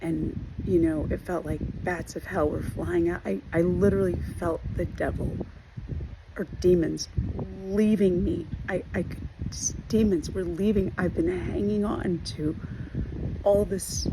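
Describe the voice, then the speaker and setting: Woman vlogger, voice hoarse and worn, halting and earnest, lowish pitch, audible background noise. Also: fairly even intonation. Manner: earnest, halting, with many fillers and restarts. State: hoarse from losing her voice. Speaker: trauma recovery vlogger. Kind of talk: personal video update.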